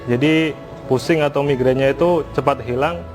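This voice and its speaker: A man's voice: mid-range voice, normal speed. A man, an entrepreneur, giving an explanatory personal testimonial. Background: background music.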